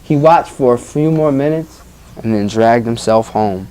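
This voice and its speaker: Teacher, medium-pitched voice, reading a picture book aloud with engaging, informal delivery. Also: measured pace, mellow, slight background noise, rough recording. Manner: engaging, informal, addresses listeners as guys. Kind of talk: expressive read-aloud with questions to young listeners.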